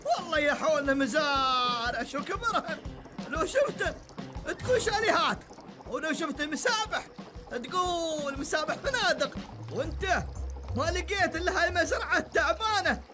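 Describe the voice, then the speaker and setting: A man's voice: very high voice, animated, normal speed, music in the background. An actor voicing a complaining small old man in a cartoon, with an Emirati Arabic accent. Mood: grumbling. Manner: complaining, whiny. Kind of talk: Gulf Arabic cartoon dub.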